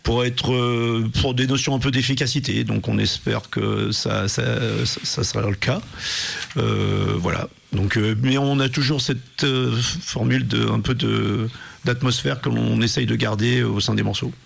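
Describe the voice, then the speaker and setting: A man, a band's guitarist, answering in a radio studio interview, halting with many fillers. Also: medium pitch, fairly even intonation, creaky. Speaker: band guitarist, guest.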